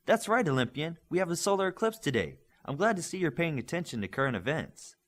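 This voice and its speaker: A man's voice: a little high, big pitch swings, fast, a bit bright, voice pushed up, full character voice. Man voicing a polite, encouraging lady teacher. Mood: pleasant. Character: polite, encouraging female schoolteacher.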